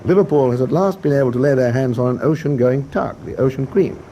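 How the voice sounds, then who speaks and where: An actor playing a seasoned British warship captain in a radio drama, speaking with dry, jaunty understatement. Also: male voice, mid-range voice, fast, mellow, lo-fi sound, lightly acted. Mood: briskly pleased. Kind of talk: full-cast radio drama acting. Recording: noisy ship ambience behind voice.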